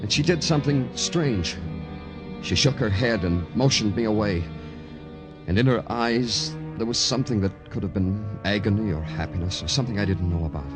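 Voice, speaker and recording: Guy acting tough deadpan cop from old radio noir, lowish voice, music behind him. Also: moderate pace, lightly acted. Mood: tense recounting. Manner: terse, deadpan, sardonic. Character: hard-boiled, world-weary male police detective narrating his case. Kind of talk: 1950s radio noir acting, poetic narration. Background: dramatic music.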